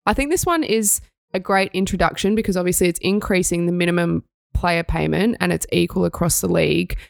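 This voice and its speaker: A woman's voice: fairly low pitch, fairly quick, creaky, no echo, clean studio sound. A young Australian woman co-hosting a sports news podcast, reading stories and chatting in an upbeat way. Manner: upbeat, reads news items then chats. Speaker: podcast co-host.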